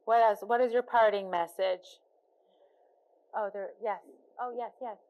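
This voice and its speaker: A woman moderating a live interview, taking audience questions and repeating them back clearly. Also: mid-range voice, steady tone, unhurried, lo-fi sound.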